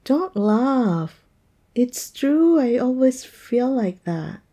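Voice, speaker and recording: A woman's voice: fairly high pitch, very animated, mellow, exaggerated voice. A woman acting an oversensitive young woman in an intimate audio roleplay, speaking to her partner in a hesitant, plaintive way. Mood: sulky. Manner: hesitant, plaintive, halting delivery. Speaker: roleplay voice performer. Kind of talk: intimate audio roleplay, one-sided dialogue.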